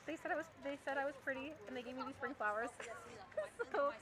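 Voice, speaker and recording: A woman's voice: sits high, fast talker. A woman with Romanian as her first language, chatting and laughing while live-streaming a mobile game outdoors in a town square. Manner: chatty, laughs often, reads chat aloud. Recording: street noise behind.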